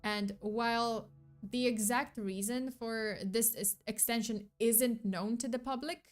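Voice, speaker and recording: A woman's voice: fairly high pitch, steady tone, unhurried. A young woman hosting a true crime stream, talking casually with lots of fillers and restarts and swearing now and then, her voice worn as she loses it. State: losing her voice. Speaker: true crime vtuber host. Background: background music.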